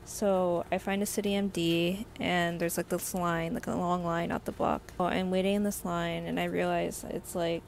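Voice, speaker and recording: A young woman, slightly hoarse, telling a rambling casual storytime full of "like" fillers. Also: on the low side, little pitch movement, moderate pace, few pauses, a little hiss or hum. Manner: rambling, heavy use of "like" fillers. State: slightly hoarse from a sore throat. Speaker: vlogger.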